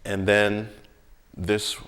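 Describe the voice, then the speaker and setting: A man speaking reflectively and haltingly in conversation, choosing words deliberately as a musician and creator. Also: fairly low pitch, level tone, fairly bright tone. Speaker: musician and audio drama creator.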